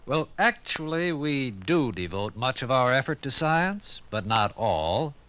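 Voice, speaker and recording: A man's voice: medium pitch, very animated, a little slow, a bit bright. An American man narrating a 1950s radio documentary from a script in a solemn, declamatory, dramatic manner.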